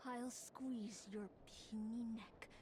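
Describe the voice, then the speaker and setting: A woman's voice: on the high side, steady tone, takes it slowly, dark tone, slight background noise. An actress playing a bitter young woman full of cold hatred in a dramatic video game scene.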